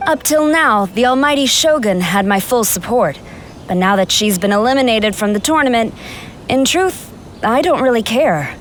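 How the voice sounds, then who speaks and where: A woman's voice: a little high, animated, moderate pace, soft background music, lightly acted. A woman playing a stern, dignified general in a video game, speaking formally and with reserve. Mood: candid, reserved.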